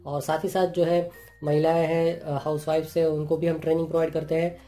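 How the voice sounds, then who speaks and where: Flat-voiced man explaining with filler words. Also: on the high side, a little fast. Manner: plain explanatory talk with many "jo hai" fillers. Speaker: channel host reviewing products. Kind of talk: product unboxing and review. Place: indoors at a table. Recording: clear music playing underneath.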